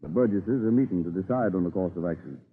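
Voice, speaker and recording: A man's voice: low-pitched, animated, fast talker, in a large hall, phone-quality sound. An actor playing a dignified Virginia statesman and father in a 1930s radio drama, speaking in a formal, oratorical way. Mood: calm, assured. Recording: thin, noisy 1930s radio recording.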